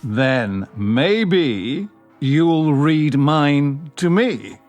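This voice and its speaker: An amorous, poetic British man in an audio drama. His voice sits fairly high and moves expressively, with measured, romantic phrasing. There is a wry, wistful streak to him, and music plays behind. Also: lively intonation, a little mellow. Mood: tender, romantic. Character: amorous, wistful, poetry-loving male lover.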